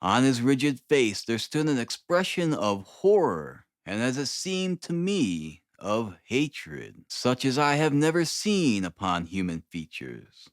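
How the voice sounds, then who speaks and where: A man narrating a classic story as an audiobook, in a measured, deliberate, dramatic reading style. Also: mid-range voice, very animated, dry recording, studio quality. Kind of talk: dramatic scripted literary narration.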